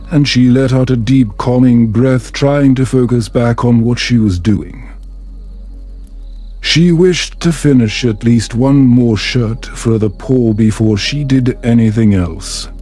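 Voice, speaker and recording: Male narrator, low-pitched, unhurried pace, soothing bedtime storytelling, gentle delivery, soft music behind. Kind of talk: slow calming scripted narration, ASMR bedtime story.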